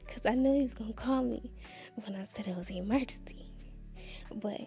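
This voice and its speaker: A woman's voice: a little high, fairly quick, dark tone, faint music behind. A young woman from Nashville, chattily telling viewers a personal story, confiding and calling out to them often. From Nashville, Tennessee. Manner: chatty, confiding, keeps saying y'all. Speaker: vlogger.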